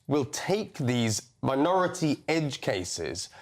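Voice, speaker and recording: A man's voice: medium pitch, very animated, slow. A man on a TV debate panel, arguing back and restarting when he is cut off. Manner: argumentative, stammering restarts when interrupted. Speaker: panel guest.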